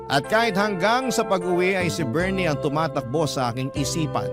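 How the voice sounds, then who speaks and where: A man's voice: a little high, animated, a little fast. A man with a Filipino accent narrating a first-person story dramatically for a radio drama. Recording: loud music bed under voice.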